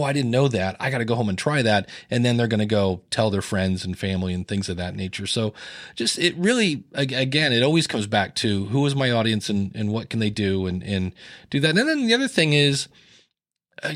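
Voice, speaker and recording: A man of middling pitch talks on a podcast, looping through anecdotes and quoting others with a lifted voice. The sound is dry and close, with no room around it. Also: no room sound. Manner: storytelling, animated with rising pitch in quoted lines. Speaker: podcast guest. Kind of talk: casual interview talk.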